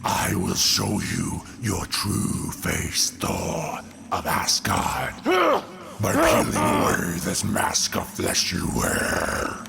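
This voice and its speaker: Very gravelly, deep, sadistic villain. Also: male voice, very animated, exaggerated voice. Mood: taunting, cruel. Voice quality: gravelly, rasping. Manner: slow, taunting, deliberate menace. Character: sadistic, menacing alien god-killer torturer villain. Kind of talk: dramatic comic book reading. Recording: loud rumbling ambient noise behind.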